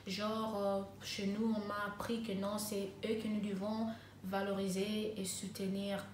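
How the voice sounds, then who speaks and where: A woman's voice: medium pitch, little pitch movement, measured pace, darkish tone, distant mic, a little hiss or hum. Hesitant young woman in an echoey room. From Congo (DRC), raised in South Africa. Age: 20s. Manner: hesitant, many fillers and restarts. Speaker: interviewee, master's student. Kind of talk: interview answers. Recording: echoey room with faint ambience.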